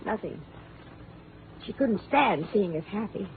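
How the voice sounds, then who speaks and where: An actress playing a bitter, paranoid, frightened widow with overwrought, melodramatic delivery, in 1950s radio drama style. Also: female voice, mid-range voice, animated, unhurried, gravelly. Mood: bitter, pleading. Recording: tinny, noisy 1950s radio broadcast.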